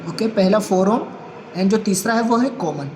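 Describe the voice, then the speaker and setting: Male tutorial presenter, high voice, methodical and repetitive hands-on explanation with English terms mixed in, faint noise behind. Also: animated, normal speed, darkish tone. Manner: step-by-step, repeats points, mixes English terms into speech. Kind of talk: practical hands-on demonstration.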